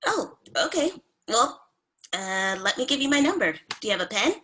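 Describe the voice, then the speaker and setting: A woman's voice: a little high, sing-song, moderate pace, pushed and bright, a little hiss or hum. A woman playing a smitten but insecure thirty-year-old date in a comedy table read. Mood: hopeful, awkward.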